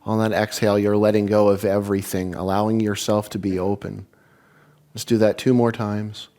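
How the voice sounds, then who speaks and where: A man guiding a meditation in slow, soothing, measured phrases with long pauses. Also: lowish voice, level tone, warm tone. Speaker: meditation leader and spiritual speaker.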